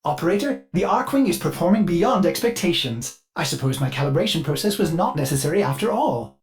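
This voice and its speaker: A man's voice: a little high, animated, fairly quick, close to an everyday voice. A man voicing a fussy, servile ship computer AI in a video game, speaking in a chirpy, formal and theatrical way with an undercurrent of violent glitches. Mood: helpful, anxious. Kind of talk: video game character voice lines.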